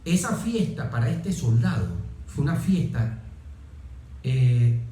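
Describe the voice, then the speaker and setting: A man's voice: medium pitch, sing-song, slow pace, mellow. A middle-aged Venezuelan man, a military officer, speaking as an interview guest and telling personal stories emphatically, often repeating phrases. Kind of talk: conversational personal storytelling. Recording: very echoey room.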